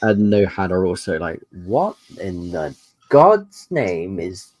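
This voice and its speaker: Low-voiced man narrating a tabletop game. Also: very animated, takes it slowly, a little mellow, no echo. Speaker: game master.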